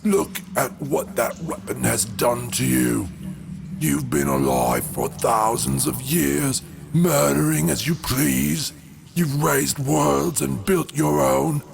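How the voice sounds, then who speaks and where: A man playing a weary, defiant old enslaved god in a motion comic, accusing and declamatory in a gravelly voice. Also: medium pitch, animated, takes it slowly, music in the background, heavily acted. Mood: scornful, accusing.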